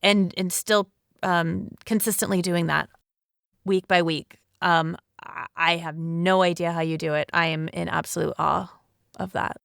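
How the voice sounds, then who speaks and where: A woman's voice: on the low side, steady tone, a little slow, gravelly, fairly bright tone, smooth, dry recording, clean studio sound. A woman in a casual podcast chat, talking in a chatty, informal way. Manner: chatty, frequent "like" and "you know". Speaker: cast member on a podcast panel.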